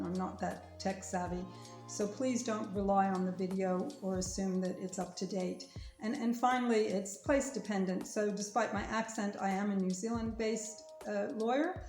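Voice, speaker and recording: A woman's voice: medium pitch, a little mellow, reverberant. A woman lawyer speaking to viewers in an earnest, careful way, urging them to listen to everything she explains. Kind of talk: informational talk to viewers.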